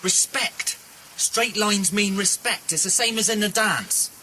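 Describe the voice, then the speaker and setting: A tense stickler of a soldier in a radio play. The male voice is high and very sharp, with faint noise around it. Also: measured pace, exaggerated voice, rough recording. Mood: exacting. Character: tense, exacting soldier, a stickler for straight lines. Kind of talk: radio drama acting.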